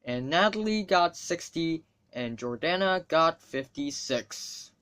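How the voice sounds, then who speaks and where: A teenage boy from Hong Kong, a native Cantonese speaker, chats to his camera in a slightly high voice at an unhurried pace. He rambles, swears without a second thought and makes wry asides about himself. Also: expressive, a bit bright, smooth. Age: teens. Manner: rambling, swears freely, self-mocking asides. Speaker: student vlogger. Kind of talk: casual talk to camera.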